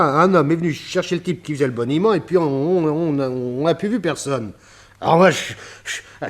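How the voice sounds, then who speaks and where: A man's voice: mid-range voice, natural voice. An actor playing a nervous, sheepish man in a detective radio drama, stammering and repeating his words as he hesitates. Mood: nervous, indignant.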